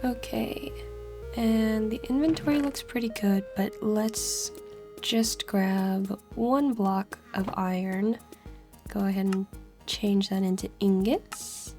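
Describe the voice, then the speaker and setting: A woman hosting a relaxed let's play, her voice stuffy and congested from a cold. She talks in an unhurried, chatty way, laughing softly between thoughts, with faint music underneath. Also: medium pitch. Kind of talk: casual gameplay commentary.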